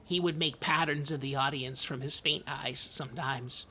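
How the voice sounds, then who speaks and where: Guy doing a nervous earnest weirdo podcast host, stammers and rambles, kinda bright sharp voice, bit of background noise. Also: medium pitch, fairly even intonation, close to an everyday voice. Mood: wistful, rambling. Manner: halting, hesitant, stammering rambler. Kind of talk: improvised comedy podcast banter.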